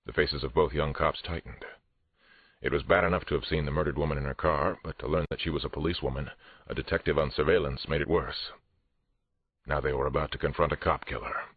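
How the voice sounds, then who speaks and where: A man's voice: steady tone, quick pace, full character voice. A man reading a first-person audiobook thriller as a police detective, tense, restrained and dramatic. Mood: tense, controlled. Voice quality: very deep, husky. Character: male police detective narrator, angry but controlled. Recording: roomy, muffled phone-like audio.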